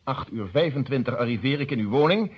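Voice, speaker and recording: A stern male police inspector in a radio crime play. He speaks formally and insistently, laying out each point with precision in a slightly husky, mid-pitched voice on a dull old recording. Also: expressive, fairly quick. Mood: confident, accusing. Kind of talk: radio drama acting.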